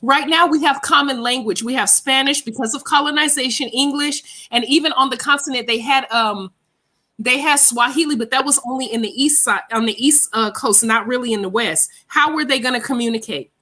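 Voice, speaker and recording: A woman's voice: high-pitched, brisk, sharp tone. A woman in a heated debate, lecturing listeners in a blunt, emphatic and combative way.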